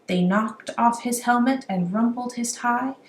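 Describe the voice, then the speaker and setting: A woman reading a rhyming children's story aloud in an animated, amateur storytelling style. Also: a little high, a little slow. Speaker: amateur children's book reader. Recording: roomy echo, faint background noise.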